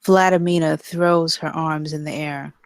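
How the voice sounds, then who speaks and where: A woman's voice: deep voice, fairly even intonation, unhurried, a little hiss or hum. A woman reading stage directions as narrator in a live scripted table read.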